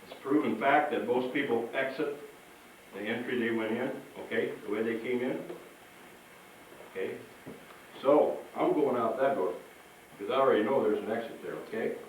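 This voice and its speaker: A man's voice: mid-range voice, normal speed, long pauses. A man presenting as a firefighter, giving a folksy, instructive safety talk to children and often checking in with them. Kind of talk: interactive safety talk to schoolchildren. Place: large echoey room with an audience. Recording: very echoey room, distant, faint noise.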